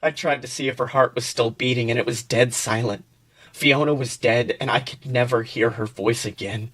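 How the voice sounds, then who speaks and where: A man's voice: mid-range voice, steady tone, moderate pace, fairly bright tone, close to an everyday voice. A man voicing a gloomy, grumpy ogre who tells his sorrows in a melodramatic, dismissive way. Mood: mournful.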